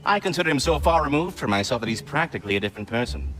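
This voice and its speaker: An actor voicing a fussy, effusive talking squirrel with florid, genteel diction, like a refined gourmand in a video game. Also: male voice, medium pitch, lively intonation, very fast, fairly bright tone, faint music behind, natural voice. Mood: polite, explanatory. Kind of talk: video game character acting.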